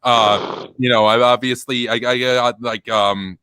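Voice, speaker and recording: A man hosting a casual stream, talking in a rambling, animated way with many fillers and restarts. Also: medium pitch, a little slow, smooth, no echo. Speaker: stream host. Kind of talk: casual chat.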